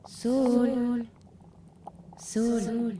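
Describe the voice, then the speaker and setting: A woman acts a calm, haunting voice from within. It is flat and very slow, drenched in echo as if from far away, with a little noise behind it. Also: a little high. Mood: calling, calm. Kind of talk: audio fiction acting. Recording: very echoey, distant, faint noise.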